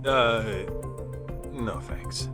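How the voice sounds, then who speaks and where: A man's voice: medium pitch, steady tone, very slow, over music. A man playing a brash, streetwise private detective in a video game, talking bluntly in casual slang. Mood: awkward. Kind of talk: video game cutscene dialogue.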